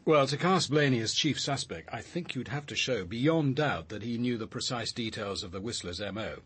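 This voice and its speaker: An actor playing a reserved, analytical senior police officer in a radio drama, thoughtful and articulate. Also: male voice, medium pitch, expressive, normal speed, a bit bright, breathy edge. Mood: analytical, reflective. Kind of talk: naturalistic radio drama acting.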